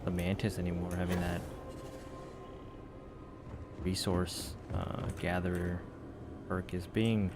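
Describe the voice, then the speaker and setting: Low-voiced man joking over gameplay. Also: flat delivery, slow pace, pauses often, noisy background. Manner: joking, muttering to himself. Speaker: gameplay streamer. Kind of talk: casual gameplay commentary.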